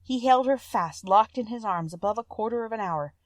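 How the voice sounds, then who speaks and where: A woman's voice: a little high, fairly quick, dry recording. A woman reading a classic fairy tale aloud as scripted storybook narration.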